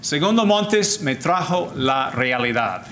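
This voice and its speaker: Slow older man speaking Spanish as a learner. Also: fairly high pitch, lively intonation, fairly bright tone, a little hiss or hum. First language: English. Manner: deliberate, repeats and restarts words, switches to English phrases. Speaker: Jesuit priest speaker. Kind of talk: slow formal lecture.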